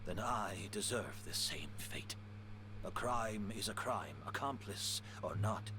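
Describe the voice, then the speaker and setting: An actor playing a remorseful, ailing gentleman in a video game, measured and earnest. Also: lowish voice, lively intonation, noisy background. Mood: resigned. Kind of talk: dramatic video game acting.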